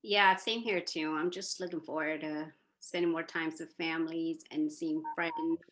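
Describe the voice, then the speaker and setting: A woman speaking as a panelist in a gentle, easygoing way. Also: deep voice, steady tone, normal speed, rough recording. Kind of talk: casual panel discussion.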